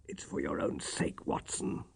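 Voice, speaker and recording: A man voicing a gaunt, ailing gentleman detective, feeble, croaking and gasping yet sharply commanding, in an audiobook story. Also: unhurried, lightly acted. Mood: hoarse, weak.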